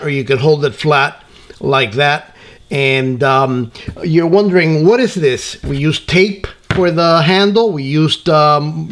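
A middle-aged man hosting a casual workbench hobby tutorial, rambling with many ums and uhs and friendly asides. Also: on the high side. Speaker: scale model hobby host. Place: workbench in home workshop.

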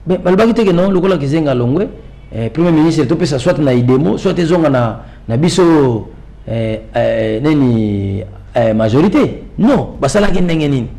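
A man's voice: on the high side, lively intonation, moderate pace, vocal fry, dark tone. A middle-aged man with a Congolese accent in a televised political discussion, speaking emphatically and repeating phrases for stress. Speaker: political commentator guest. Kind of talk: televised political discussion in mixed Lingala and French.

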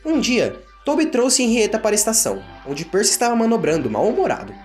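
Male narrator, Brazilian accent, for a children's story, highly animated over music. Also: fast talker.

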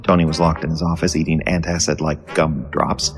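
A man's voice: a bass voice, little pitch movement, brisk, faint music behind. An actor playing a weary, wisecracking newspaper reporter in an audio drama, muttering and stammering through his lines. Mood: weary, wry. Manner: muttering, stammering, hesitant asides. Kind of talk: audio drama acting, noir narration.

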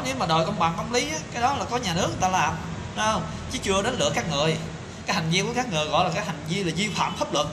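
A man in his thirties speaking an indignant opinion monologue to camera, full of rhetorical questions and fillers, filmed on a phone in a small tiled room. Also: high-pitched. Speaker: commentator. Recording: echoey tiled room with background noise.

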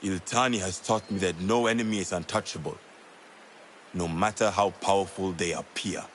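A man's voice: low voice, steady tone, normal speed, a little hiss or hum, lightly acted. A man playing a learned, devout scholar of an assassin order in a game, with an instructive, formal manner. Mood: thoughtful, instructive. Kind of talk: dramatic game cutscene acting.

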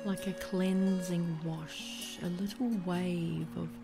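A woman leading a guided body scan meditation in a soothing, gentle way, leaving pauses between phrases. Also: on the low side, level tone, creaky. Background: music.

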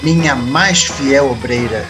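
A man plays a lecherous cult master in an audio drama. He gives orders in a slow, mid-pitched voice over clear music. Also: close to an everyday voice. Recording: music under the voice.

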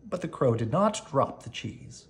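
A storybook reader giving an expressive read-aloud narration for children. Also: medium pitch, a little slow.

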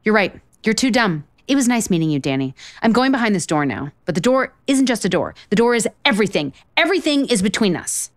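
A woman's voice: mid-range voice, very animated, fairly quick, few pauses, natural voice. An actress playing a blunt, exasperated single woman in a romantic comedy audio drama, sarcastic, emphatic and profane. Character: blunt, exasperated, foul-mouthed single woman, sharp-tongued cynic.

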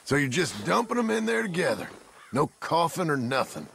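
Gravelly, sardonic cowboy outlaw. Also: male voice, on the high side, big pitch swings, normal speed, a bit bright, a little hiss or hum, heavily acted. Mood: suspicious. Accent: American. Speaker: gunslinger protagonist in a western video game. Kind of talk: western video game acting.

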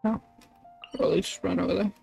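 Young woman streamer with creaky voice. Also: mid-range voice, fairly even intonation, fast, dark tone. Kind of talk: casual game livestream chat. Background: faint game music.

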